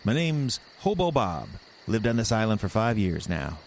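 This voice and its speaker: An actor playing a sly, folksy old hermit with a drawling backwoods way of talking in an audio drama. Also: male voice, lowish voice, sing-song, normal speed, creaky, a little hiss or hum. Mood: folksy, wry.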